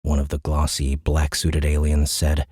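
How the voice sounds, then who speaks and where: A man narrating a science fiction audiobook in a measured, suspenseful storytelling manner, close to a studio microphone. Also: rumbling low, monotone, a little mellow, breathy edge, no echo.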